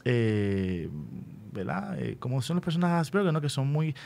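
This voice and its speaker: Puerto rican guy hosting a radio interview, talks off the cuff, kinda deliberate, keeps asking little check-in questions. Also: mid-range voice, very animated, gravelly, a little mellow. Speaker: radio host and school educator.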